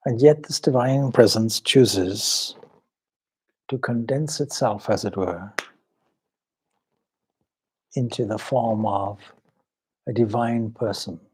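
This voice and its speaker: A man leading a guided meditation as a swami, speaking solemnly and measuredly in short phrases with long pauses. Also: fairly low pitch, a little mellow, slightly rough, rough recording. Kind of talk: spiritual talk and guided meditation.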